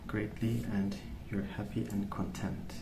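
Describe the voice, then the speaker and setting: A man rambling softly in an ASMR style, hushed, hesitant and unhurried. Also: on the low side, level tone. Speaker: ASMR video host. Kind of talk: soft-spoken ASMR rambling. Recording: roomy, distant mic with clear noise.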